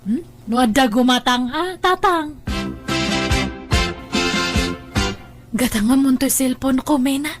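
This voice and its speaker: A woman's voice: sits high, moderate pace, over music, close to an everyday voice. A woman with an Ilocano Filipino accent playing a carefree, self-indulgent young working daughter in an audio drama, offhand and dismissive. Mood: casual.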